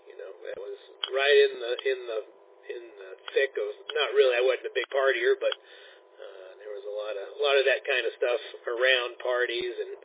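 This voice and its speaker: A man in his forties chatting casually over amateur radio, relaxed and rambling with frequent restarts. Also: mid-range voice, a little fast. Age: 40s. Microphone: radio transceiver mic. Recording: narrow radio band, distant and noisy.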